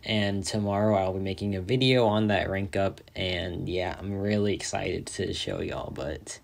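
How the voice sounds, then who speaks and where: A young man with a slightly low voice gone raspy and hoarse from hours of talking. He chats casually and excitedly about his game rewards, rambling with lots of filler words. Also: normal speed. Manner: excited, rambling, says "like" often. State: hoarse, tired from a long day of talking. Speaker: mobile game content creator. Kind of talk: casual gameplay commentary.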